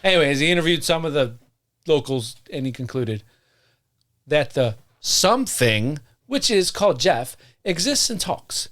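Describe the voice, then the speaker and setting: A man's voice: a little high, big pitch swings, a little slow, a bit bright. A man co-hosting a podcast, reading a strange story aloud in a jokey, profane way and stopping to correct himself. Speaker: podcast co-host.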